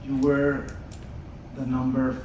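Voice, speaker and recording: A man's voice: mid-range voice, completely flat delivery, takes it slowly, highs cut off. A man, telling his story in an earnest motivational talk to an audience. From Switzerland. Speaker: speaker at an event, podcast host. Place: large event hall. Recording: distant echoey hall with noise.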